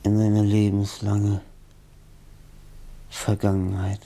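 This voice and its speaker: An actor in a radio play as a dying, feverish, broken writer, husky and gravelly, speaking in halting fragments. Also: male voice, lowish voice, completely flat delivery, warm tone. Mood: weary, bitter. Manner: halting, murmuring, fragmented speech.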